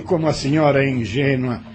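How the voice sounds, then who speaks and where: A man's voice: mid-range voice, darkish tone, natural voice. A man acting an arrogant, scornful plantation master in an audio drama, with a mocking, domineering manner.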